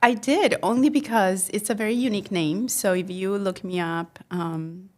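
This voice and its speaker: A talkative woman with a soft Spanish-tinged accent, a guest on a studio podcast. She speaks at a mid pitch and chats loosely, often starting over mid-sentence and laughing between thoughts. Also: normal speed. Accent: Mexican Spanish. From Mexico. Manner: chatty, laughs often, many restarts. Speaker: guest entrepreneur. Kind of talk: casual interview chat.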